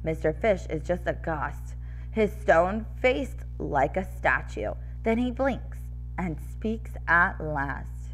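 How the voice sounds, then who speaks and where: A young woman, a preschool teacher, reading aloud to small children in an animated, slightly scratchy voice. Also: on the low side, slow pace, faint music behind. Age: young adult. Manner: animated, addressing small children, sing-song rhyme reading. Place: classroom-style room with decorated wall, indoors. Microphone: headset microphone.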